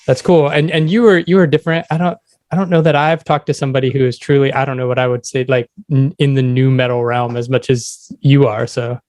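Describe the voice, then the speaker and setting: A man hosting a podcast, asking questions in an informal, agreeable, slightly halting way. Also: mid-range voice, little pitch movement, a little mellow. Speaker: podcast host. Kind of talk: casual interview chat.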